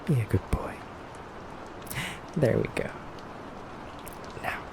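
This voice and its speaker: A man playing an effeminate vampire interrogator in an ASMR roleplay, speaking softly and deliberately with teasing, condescending menace and breathy pauses. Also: medium pitch, noisy background, lightly acted. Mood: coolly commanding. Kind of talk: ASMR roleplay, soft intimate acting.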